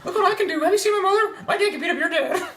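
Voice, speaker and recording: A man's voice: very high voice, rapid-fire, a bit bright, reverberant, pitched above the performer's own voice. A man voicing a pestering, boastful little kid who blurts out questions and brags, as a joke during a game playthrough. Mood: boastful, silly. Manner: blurting, showing off.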